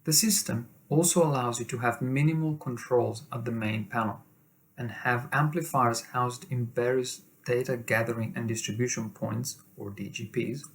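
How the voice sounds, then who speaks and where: A man reading a scripted corporate product presentation as a company presenter. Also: medium pitch.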